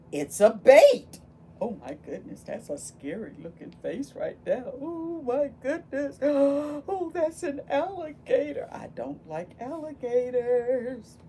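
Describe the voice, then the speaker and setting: Female children's story reader, very animated intonation, takes her time, addresses kids directly with exclamations, faint noise behind. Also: a little high. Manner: animated, exclaiming, talks directly to young listeners. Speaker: volunteer children's book reader. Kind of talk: expressive picture-book read-aloud with questions and asides to children. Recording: steady background noise.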